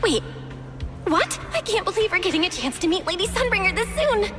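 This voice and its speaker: A woman's voice: very high voice, big pitch swings, fairly quick. A woman voicing a bubbly, cheeky young girl who blurts things out expressively in a video game. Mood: astonished. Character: excitable, cheeky young girl, easily amazed. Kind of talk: animated video game acting. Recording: loud music behind.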